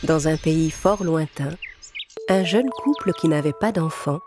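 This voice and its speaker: Low-pitched woman narrating a fairy tale. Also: unhurried. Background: music.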